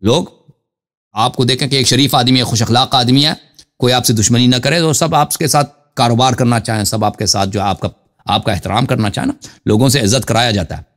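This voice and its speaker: A man's voice: mid-range voice, fairly bright tone. Courteous male religious call-in host. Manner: courteous, repeats words for emphasis. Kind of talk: informal religious question-and-answer with callers.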